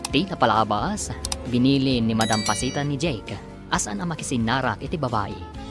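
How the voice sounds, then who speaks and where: A male announcer for a radio serial with music behind him. He narrates in a theatrical, emphatic way, at a middle pitch. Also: lively intonation, moderate pace. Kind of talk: dramatic scripted narration. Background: theme music.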